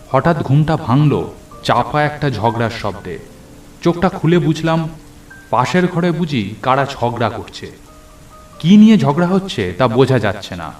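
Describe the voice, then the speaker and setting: A man narrating a first-person horror story in a dramatic storytelling style. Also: medium pitch. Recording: echoey narration over music and rain.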